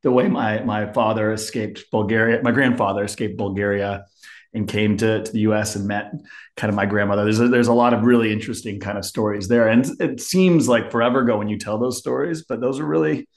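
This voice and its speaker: A man's voice: lowish voice, fairly even intonation, a little fast. Rambling man in his forties, full of fillers. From born in London, raised in Turkey and Texas. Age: 40s. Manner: rambling with many fillers and restarts. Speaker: podcast guest. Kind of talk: casual interview talk. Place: home office in Chicago.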